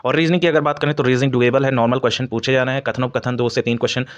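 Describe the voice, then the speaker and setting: A man's voice: medium pitch, level tone, rapid-fire, dull audio. Man explaining like a coaching teacher. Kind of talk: informal exam analysis addressed to students.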